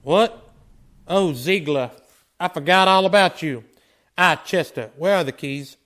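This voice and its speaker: An actor playing a steady frontier town marshal, commanding but gentle, in a Western radio drama. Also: male voice, fairly high pitch, measured pace. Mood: brisk, businesslike.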